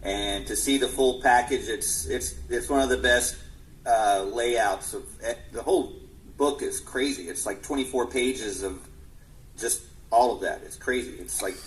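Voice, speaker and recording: A man's voice: medium pitch, poor audio. A man in his forties, a musician answering interview questions earnestly, often restarting his sentences. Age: 40s. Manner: earnest, halting with many restarts. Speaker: musician guest.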